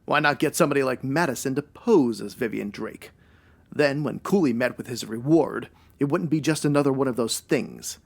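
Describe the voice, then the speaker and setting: A man's voice: mid-range voice, moderate pace, vocal fry. A man playing a wry, self-assured ex-cop private detective in a 1940s radio noir style, full of wisecracking slang. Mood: accusing, wry. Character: male ex-cop private detective, wry, hard-boiled, sure of himself.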